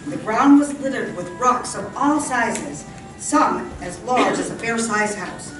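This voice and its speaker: A woman's voice: on the high side, expressive, measured pace, music in the background. A woman reading scripted narration for an old-time radio western drama. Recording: echoey room from far off.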